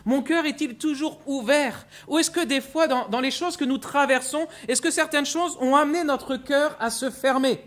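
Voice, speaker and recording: A man from Brittany preaching as a guest pastor in a church, telling his testimony with frequent repeated words and earnest pauses. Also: very high voice, a bit bright. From Brittany, France. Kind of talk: sermon with personal testimony. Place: church sanctuary with mezzanine.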